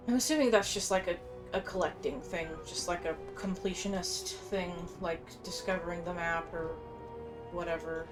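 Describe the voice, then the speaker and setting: Low-voiced woman doing casual gameplay commentary. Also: fairly even intonation, normal speed. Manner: musing aloud, informal. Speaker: gamer recording a playthrough. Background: game music.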